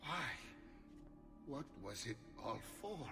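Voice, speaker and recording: A man's voice: mid-range voice, very animated, slow, gravelly, in a large hall, far from the mic, over music, heavily acted. A male actor playing a bewildered, betrayed Venetian nobleman in a video game cutscene.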